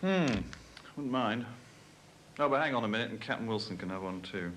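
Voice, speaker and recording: An actor playing a weary, dry British army officer on night duty in a training film, laconic in manner. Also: male voice, on the low side, animated, normal speed, fairly bright tone. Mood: weary, wry. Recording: noisy 1980s film soundtrack.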